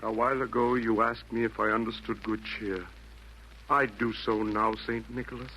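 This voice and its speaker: An actor in a 1940s radio play voicing a repentant Roman emperor, earnest, hesitant and theatrical, speaking in rhymed verse. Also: male voice, lowish voice, level tone, moderate pace, bright, forceful tone. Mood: humble wonder. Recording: noisy vintage 1940s radio broadcast.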